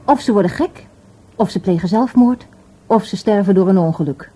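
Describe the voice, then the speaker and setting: An actress playing a tender, curious and teasing lover in an intimate Dutch radio drama. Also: female voice, medium pitch, normal speed, mellow, close to an everyday voice, rough recording. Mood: pensive, teasing. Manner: intimate, playful, questioning.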